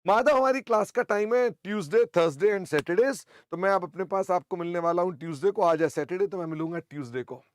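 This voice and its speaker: Older guy teacher, hoarse throat, keeps clearing it, chatty and teasing, high-ish voice. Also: moderate pace, few pauses, no echo, studio quality. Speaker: accounting teacher. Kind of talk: online class lecture.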